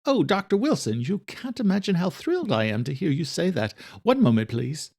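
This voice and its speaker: An eager woman TV presenter in an audio thriller, voiced by a male narrator, pitched high. She is gushing, polite and brisk, speaking with bright enthusiasm. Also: sing-song, vocal fry, heavily acted. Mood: thrilled, brisk.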